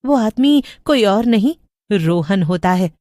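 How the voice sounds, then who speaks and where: A woman's voice: mid-range voice, lively intonation, measured pace, warm tone, no room sound, clean studio sound. A woman narrating a scripted story as a storyteller.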